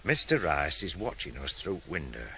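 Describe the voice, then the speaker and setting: An actor in a 1980s radio drama playing a curt, guarded working-class father with a Northern English accent and a gruff, dismissive way of talking. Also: rumbling low, very animated, moderate pace. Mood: bitter. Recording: faint noise on old radio broadcast.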